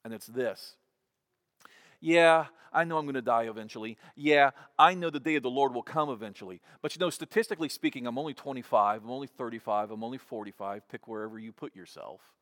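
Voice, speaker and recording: A man's voice: medium pitch, a little fast, a bit bright. Man in his fifties preaching conversationally. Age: 50s. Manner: conversational preaching, repeats words when restarting. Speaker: pastor. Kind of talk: sermon.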